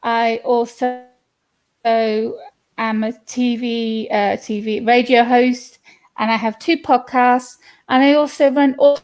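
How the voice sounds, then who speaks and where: A woman's voice: on the high side, steady tone, slow pace, darkish tone, smooth. A woman from the UK with a British accent, an author talking casually on a video call. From United Kingdom. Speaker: author and podcast host. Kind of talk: casual panel talk. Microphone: video call with a bad connection.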